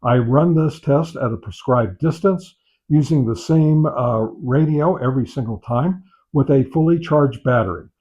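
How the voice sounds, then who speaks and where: A man gives unscripted product test commentary as a review host, pausing often with filler sounds and repeating set phrases. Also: mid-range voice, darkish tone. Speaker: host of a radio gear review channel. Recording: dull, muffled lo-fi sound.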